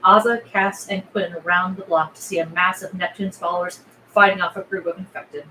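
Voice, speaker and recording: A woman's voice: fairly low pitch, fairly even intonation, normal speed, bright, forceful tone. A woman narrating the scene directions of a film script at a table read. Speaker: stage-direction reader. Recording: roomy echo with clear background noise.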